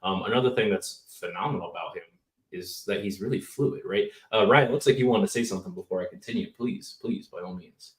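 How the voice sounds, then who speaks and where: A man co-hosting a casual basketball podcast, excitable and leading the talk. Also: lowish voice, fairly quick, echoey room, far from the mic, low-quality recording. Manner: excitable, hype, leading the discussion. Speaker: podcast co-host. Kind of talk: casual sports podcast chat.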